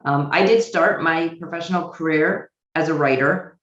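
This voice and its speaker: An American woman speaks at a virtual conference, her voice muffled and a little roomy, as if heard through a video call. She talks with a thoughtful, hesitant pace, pausing on ums and restarting sentences as she works out her thoughts. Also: low voice, a bit bright. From United States. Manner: thoughtful, many fillers and restarts. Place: home office in Israel.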